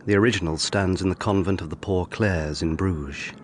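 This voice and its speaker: An actor playing a reflective, haunted young lawyer, narrating a period audio drama in a measured, sombre way. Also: male voice, sits low, fairly even intonation, a little hiss or hum, natural voice. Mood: haunted, wistful. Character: reflective, haunted young 18th-century lawyer recounting his past. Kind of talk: scripted first-person drama narration.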